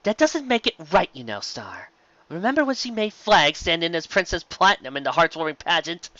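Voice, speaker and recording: Man acting a female pony guard, brash loose cannon, pitched up, excitable, mocking, storybook reading, dull recording. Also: a little fast, no echo.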